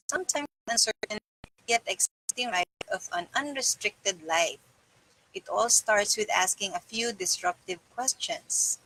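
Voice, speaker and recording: A woman's voice: medium pitch, sharp tone, slight background noise, low-quality recording. A middle-aged woman reading a self-help book aloud in a small room indoors. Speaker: reader.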